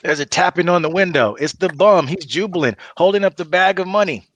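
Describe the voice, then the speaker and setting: A man's voice: high voice, brisk, dry recording, highs cut off. A man reading stage directions as the narrator of a comedy script table read. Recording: clearly noisy recording with clicks.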